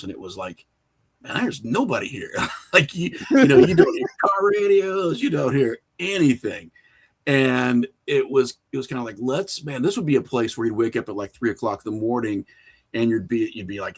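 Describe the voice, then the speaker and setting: A middle-aged American man telling stories casually as an interview guest, animated and often acting out imagined lines of dialogue. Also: a little high, husky. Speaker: podcast guest, independent filmmaker.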